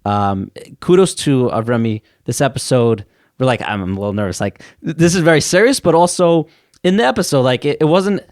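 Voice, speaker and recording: A man's voice: mid-range voice, lively intonation, brisk, a little mellow, no room sound, clean studio sound. A man hosting a podcast in a casual, conversational way, somewhat hesitant and repeating words as he goes. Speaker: podcast host.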